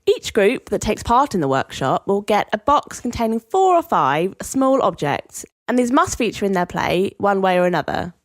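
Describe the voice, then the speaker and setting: A woman's voice: mid-range voice, very animated, normal speed, few pauses, no echo. A woman co-hosting a podcast in a scripted presenting style. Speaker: podcast co-host.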